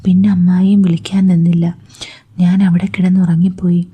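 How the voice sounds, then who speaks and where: Female storyteller, breathy whisper, very dark, flat delivery. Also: fairly low pitch, moderate pace. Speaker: story narrator. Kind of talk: whispered first-person story reading.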